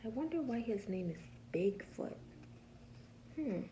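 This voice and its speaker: A woman preschool teacher reading a picture book aloud to young children in a patient, teacherly way, pausing to ask simple questions. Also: a little high, lively intonation, background noise.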